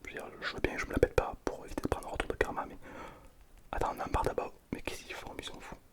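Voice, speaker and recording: A gamer commentating casually on play in a breathy whisper, repeating phrases with playful mock bragging. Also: very fast. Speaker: gaming video host.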